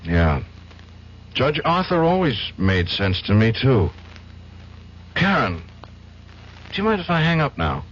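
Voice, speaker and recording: An actor playing a troubled, agitated defence lawyer with a halting, strained delivery in a 1970s radio drama. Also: male voice, medium pitch, very animated, a bit bright, breathy edge, exaggerated voice. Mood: bitter, restless. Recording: dull, hissy old radio broadcast.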